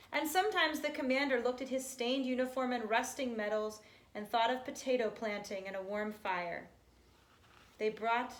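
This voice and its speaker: A woman's voice: on the high side, fairly bright tone. A woman reading a children's storybook aloud. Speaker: storybook reader. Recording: roomy, echoey home recording.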